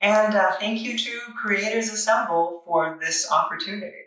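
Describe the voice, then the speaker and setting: Bright, echoey voice of a playful reviewer. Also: medium pitch, normal speed. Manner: playful, tongue-in-cheek. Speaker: host of a comic book review show. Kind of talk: casual scripted review with asides. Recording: echoey, distant microphone, music underneath. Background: music.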